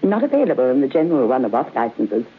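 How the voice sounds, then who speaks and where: Genteel, husky middle-aged widow. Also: female voice, rumbling low, very animated, fast, exaggerated voice. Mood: coy, gracious. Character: genteel older widow, coy and quietly sinister hostess. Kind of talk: old-time radio drama acting. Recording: muffled, phone-like old radio recording.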